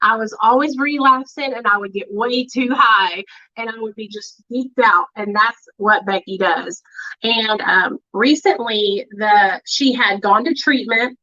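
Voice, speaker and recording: A woman hosts a casual livestream with a bright, fairly high voice. She talks in an excited, chatty way and fills her speech with "like" and "um". The audio is muffled and lo-fi. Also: a little slow. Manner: chatty and excited, with filler words. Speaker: livestream host.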